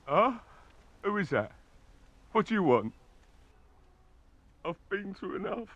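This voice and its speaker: A man's voice: sits high, very animated, slow, a bit bright, no room sound, heavily acted. An actor playing a fed-up, wary man in a video game, grumbling defensively, in muffled audio. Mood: wary, weary. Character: fed-up, wary man behind a locked door.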